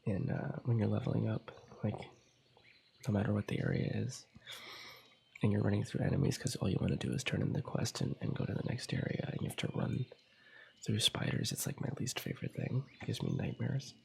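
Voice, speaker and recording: A young man who is fighting a cold murmurs his way through a gameplay session in a hushed ASMR voice, drifting into whispers. His voice is thick with gravelly fry and has a dark, mellow tone. A faint noise floor sits behind him. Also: lowish voice, expressive, brisk. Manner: quiet, slipping into whispers. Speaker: ASMR gaming channel host. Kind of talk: soft-spoken ASMR gameplay commentary.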